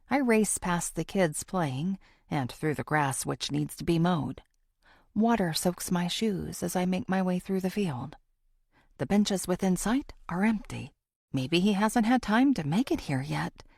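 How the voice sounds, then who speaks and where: A woman reading a first-person thriller audiobook in a dramatic, expressive way. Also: lowish voice, vocal fry, dry recording, studio quality. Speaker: audiobook narrator.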